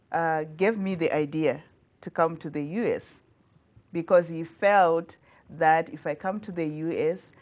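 Slow, low Zimbabwean woman. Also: darkish tone. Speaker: guest artist. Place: small TV studio. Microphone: lapel mic.

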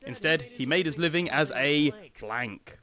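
A man's voice: fairly high pitch, measured pace, sharp tone. A young man reading quiz prompts aloud and joking with friends during a party game. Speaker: game host reading questions. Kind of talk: casual gaming chat.

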